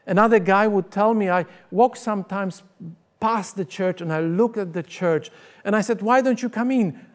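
A man's voice: high voice, moderate pace. A middle-aged man from Poland with a Polish accent, preaching a sermon in earnest storytelling fashion on a church stage with a lapel mic. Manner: earnest storytelling, gesturing with both hands. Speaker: pastor and teacher. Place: church stage with curtain backdrop.

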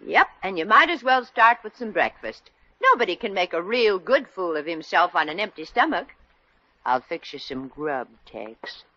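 An actress playing a nosy, sardonic lady friend who deals out brisk wisecracks in 1940s radio comedy style. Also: lowish voice, big pitch swings, pushed and bright, a little hiss or hum. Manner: dry wisecracks. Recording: muffled old radio recording.

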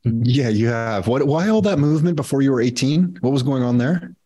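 A man's voice: mid-range voice, animated, brisk, dark tone, breathy edge. A middle-aged man hosting a podcast interview over a video call, asking long, rambling questions full of fillers. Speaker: podcast host. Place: home studio office. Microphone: earbud headset on video call.

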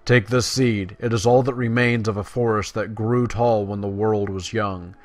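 A man's voice: on the low side, steady tone, moderate pace, no room sound. A man hosting a gaming video, casually commentating and reading quest text aloud in a playful way. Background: game music.

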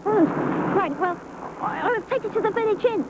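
A polite young Irish lad. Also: female voice, very high voice, normal speed, very noisy. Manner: polite, plain-spoken.

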